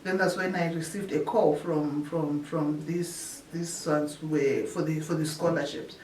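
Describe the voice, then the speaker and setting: A woman from Zimbabwe, a researcher telling her story in an interview, often pausing and restarting her phrases. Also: low-pitched, fairly even intonation, darkish tone. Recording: echoey room, distant mic, faint hiss.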